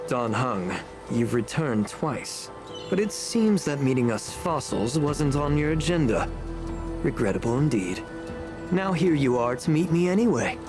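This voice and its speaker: An actor playing a formal, faintly sardonic, scheming elder in a video game, speaking in a measured way. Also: male voice, medium pitch, big pitch swings. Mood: sardonic, bitter. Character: scheming, unrepentant male clan elder in a rejuvenated body. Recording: game music behind the voice.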